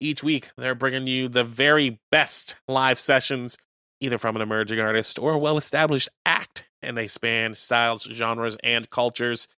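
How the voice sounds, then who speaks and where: A man's voice: medium pitch, steady tone, moderate pace, bright, forceful tone, clean tone, no echo. A man co-hosting community radio, chatting casually and reading out show listings. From Canada.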